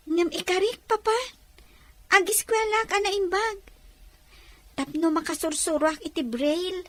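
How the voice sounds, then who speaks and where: A woman's voice: very high voice, no echo. A woman playing a grateful, hopeful blind girl in an audio drama, speaking earnestly and respectfully to her adoptive father. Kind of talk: Ilocano audio drama acting.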